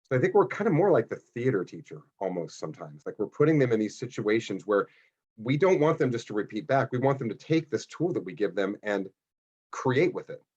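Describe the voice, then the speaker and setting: A man's voice: mid-range voice, very animated, brisk, darkish tone, slightly rough, dull audio. A man moderating a casual panel discussion, rambling and enthusiastic with frequent restarts.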